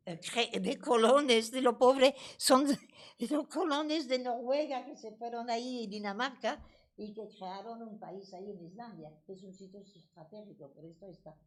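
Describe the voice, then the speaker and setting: French-accented woman, passionate and halting. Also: a little high. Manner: passionate, with frequent restarts and hesitations. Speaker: debate speaker. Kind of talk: political talk at a public debate.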